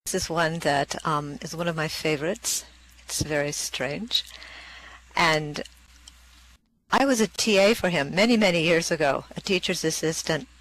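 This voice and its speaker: A poetry performer reading verse and giving brief introductions, with measured, expressive delivery. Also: mid-range voice, no room sound, low-quality recording.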